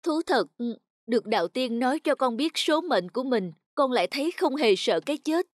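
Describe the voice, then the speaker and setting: An actor voicing a devoted, anguished young peasant son in an animated folk tale, earnest and pleading. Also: high voice, moderate pace.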